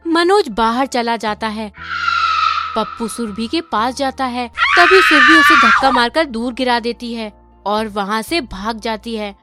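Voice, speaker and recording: A woman's voice: fairly high pitch, unhurried, shouting, loud music behind the voice. A woman narrating a scripted horror cartoon story.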